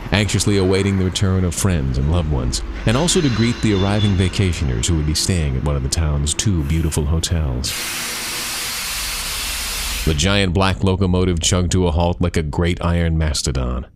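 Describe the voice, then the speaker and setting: A man reading a scary story as a storyteller, with suspenseful, deliberate dramatic phrasing. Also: sits low, lively intonation, noisy background.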